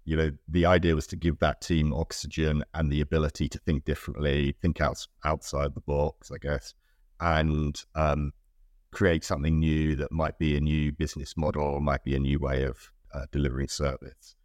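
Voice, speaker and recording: Very deep-voiced British man, hesitant. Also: level tone, normal speed, darkish tone, no room sound, studio quality. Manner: thoughtful, many ums and restarts. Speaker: guest, innovation director. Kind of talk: casual interview answers.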